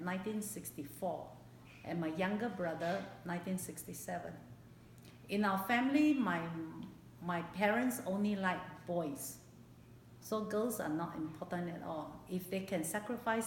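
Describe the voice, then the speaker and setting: Singaporean woman, 58, in an echoey room. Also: lowish voice. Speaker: interviewee recalling her childhood. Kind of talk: personal oral history interview. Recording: echoey room, background hiss.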